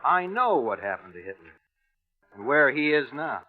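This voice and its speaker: Casting: plain-spoken former sailor telling a secret story, confiding, vintage radio drama, thin phone-like recording. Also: male voice, mid-range voice, big pitch swings, moderate pace. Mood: cagey, confiding. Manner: colloquial, hesitant, confiding. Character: plain-spoken, cagey American Navy veteran spinning a yarn. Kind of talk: 1940s radio drama acting. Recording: tinny vintage radio recording, music behind.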